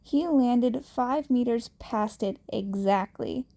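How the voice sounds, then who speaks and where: Woman reading fanfic out loud real slow, soft mellow voice, a bit high, trips over words sometimes. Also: creaky. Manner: slow, halting reading with restarts; voices characters by raising her pitch. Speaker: fanfiction reader.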